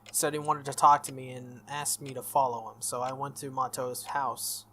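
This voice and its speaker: A man's voice: mid-range voice, little pitch movement, moderate pace, sharp tone, a little hiss or hum, natural voice. A man reading the lines of an earnest, good-natured teenage schoolboy from a visual novel. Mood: earnest, explaining. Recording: music behind the voice.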